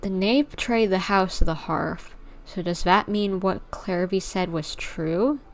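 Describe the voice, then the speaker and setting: Creaky-voiced woman streamer musing aloud. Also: medium pitch, unhurried. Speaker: game streamer. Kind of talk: casual live playthrough commentary.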